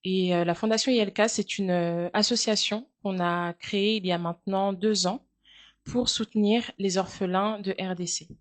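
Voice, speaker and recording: A French woman in her thirties answering interview questions, speaking in a measured, earnest way with frequent hesitant fillers. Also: mid-range voice, steady tone. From France. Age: 30s. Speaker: guest, charity founder.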